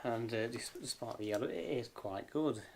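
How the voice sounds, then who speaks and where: A man talks through a piece of radio kit in an informal, hesitant way. His voice sits at an average male pitch. There is clear background noise with small clicks. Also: normal speed, darkish tone. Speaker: amateur radio vlogger reviewing gear. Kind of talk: casual hands-on product review.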